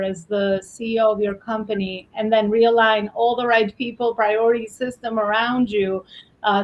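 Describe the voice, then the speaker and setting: A woman's voice: a little high, low-quality recording. A woman hosting a conversational panel interview, talking emphatically about business and often repeating phrases for effect. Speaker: host of a panel interview show.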